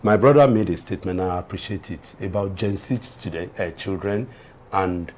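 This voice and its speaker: A man's voice: deep voice, fairly quick, a little mellow, a little hiss or hum. Earnest Nigerian man, preachy delivery. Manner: earnest, preachy, emphatic repetition. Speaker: guest lawyer, panel commentator. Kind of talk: TV panel discussion, moralising commentary.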